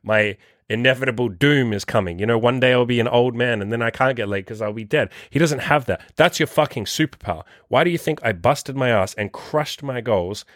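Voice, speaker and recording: Man, 30s, dating coach host, profane, emphatic, dry, medium pitch. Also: brisk, fairly bright tone, no echo. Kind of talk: solo motivational podcast monologue.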